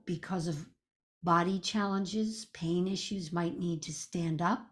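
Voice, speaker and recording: A woman leading a guided meditation as a teacher, speaking in a gentle, soothing, measured way with pauses. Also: fairly low pitch.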